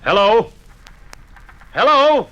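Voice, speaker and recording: A cranky rural American old-timer in vintage radio style. His voice is very high and sharp, hollering slowly with folksy exasperation. Clear noise sits on the old recording. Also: very animated, dull audio, full character voice. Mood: exasperated. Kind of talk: 1950s radio acting.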